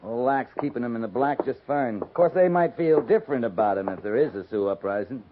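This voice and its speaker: An actor playing a dry, plainspoken US cavalry captain in a 1950s radio western, laconic and matter-of-fact. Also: male voice, mid-range voice, animated, a little fast, natural voice. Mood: dry, wry. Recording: tinny, noisy 1950s radio transcription.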